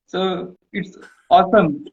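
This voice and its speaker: High-voiced host, slow broken English. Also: male voice, very animated, phone-quality sound, a crowd in the background. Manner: broken English, repeats words, laughs often. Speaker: podcast host. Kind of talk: casual interview chat.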